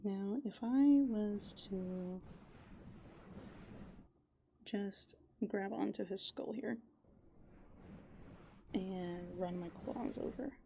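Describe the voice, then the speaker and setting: A soft-spoken woman doing rambling, playful ASMR as a raccoon, musing aloud and often slipping into whispers. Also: medium pitch, very slow, creaky, long pauses, slight background noise. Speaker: ASMR host. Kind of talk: furry roleplay ASMR, improvised rambling.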